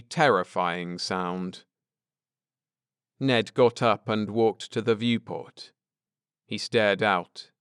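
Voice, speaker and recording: A man narrating a scripted audiobook story for adults at bedtime, in a measured, dramatic way. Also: fairly low pitch, clear, resonant tone, dry recording, studio quality.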